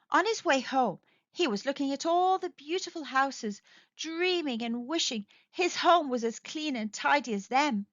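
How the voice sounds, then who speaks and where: A woman gently and expressively reading a children's storybook aloud. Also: high-pitched, no room sound, muffled recording. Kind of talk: children's read-aloud narration.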